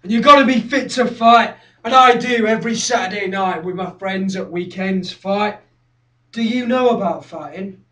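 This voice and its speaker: A man's voice: high-pitched, normal speed. An actor performing a theatrical monologue as an obsessive, confrontational young male skinhead who relives his violent past. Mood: fierce, driven. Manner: obsessive, confrontational, repetitive, swinging from hushed to shouting. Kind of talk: theatrical dramatic monologue, direct address. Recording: roomy echo, distant microphone.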